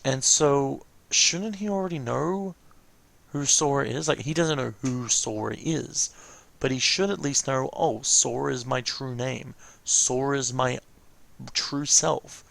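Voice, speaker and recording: A man with a creaky voice giving casual, rambling, hesitant reaction commentary as host of a watch-along. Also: medium pitch, measured pace, a bit bright.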